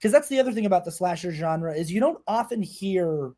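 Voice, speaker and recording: A man with a fairly high voice and a soft, mellow tone hosts a film podcast. He talks casually and feels his way through thoughts, restarting and correcting himself as he goes. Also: lively intonation, a little fast.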